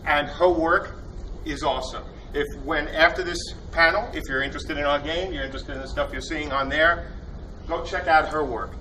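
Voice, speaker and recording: A man emcees a convention panel, joking his way through rambling introductions full of fillers. His voice sits a little high and lands from some distance off the mic. Steady noise from the hall fills the recording behind him. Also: moderate pace. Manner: jokey, enthusiastic, rambling with fillers. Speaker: panel host introducing team.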